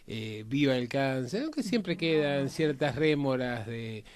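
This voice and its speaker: A man with an Argentine accent giving conversational political and historical commentary, halting and repeating words for emphasis. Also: medium pitch, animated.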